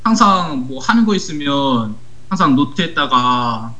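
A teenage male high school student speaking as a guest in a casual talk, explaining things earnestly step by step. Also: big pitch swings, unhurried, pushed and bright. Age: teens, high school student. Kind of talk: casual talk show conversation, recounting an anecdote.

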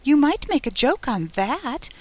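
A woman's voice: high voice, big pitch swings, measured pace, a little mellow, no room sound, pitched above the performer's own voice. A woman voicing a tiny, timid, unhappy gnat with a small, confiding voice in a storybook reading. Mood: teasing, wistful. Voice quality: extremely small voice.

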